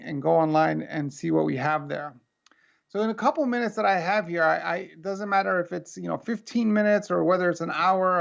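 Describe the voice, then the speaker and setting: An American man speaks over a video call, his voice strongly gravelly yet pitched a little high. The call audio is muffled and lo-fi. He talks to the audience in an earnest, conversational way. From United States. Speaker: keynote speaker. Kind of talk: remote keynote talk.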